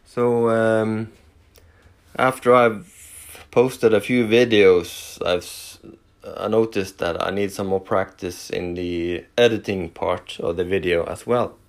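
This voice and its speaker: A Norwegian-accented man with a low, deep voice talks through his first solo podcast at an unhurried pace. He speaks English as a second language and fills his pauses with frequent fillers and false starts. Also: fairly even intonation. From Norway. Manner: hesitant, many fillers and restarts. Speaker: podcast host.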